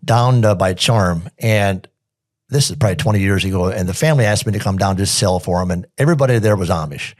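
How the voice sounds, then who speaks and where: Quick-talking, low-voiced man. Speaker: podcast guest, auctioneer. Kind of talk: casual podcast conversation, reminiscing.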